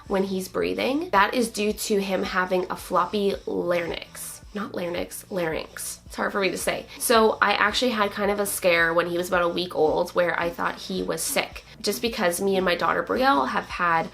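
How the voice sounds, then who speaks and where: A young woman with a slightly raspy voice, still getting over a cold. She talks in an open, chatty way to camera, stringing thoughts together with likes and so's at a mid pitch. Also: moderate pace, hardly any pauses, a bit bright. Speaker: vlogger, new mother. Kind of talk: casual vlog chat.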